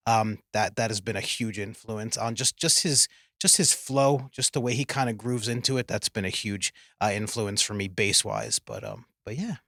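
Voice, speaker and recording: A man in his forties with a Midwestern American accent, a band's bassist and singer, chatting casually with many fillers and restarts. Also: moderate pace, fairly bright tone, no room sound, studio quality. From Kansas City area. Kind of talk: casual chat. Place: radio studio.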